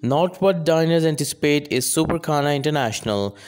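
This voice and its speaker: A man narrating a scripted restaurant countdown in an even, measured reading with odd phrasing. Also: fairly high pitch.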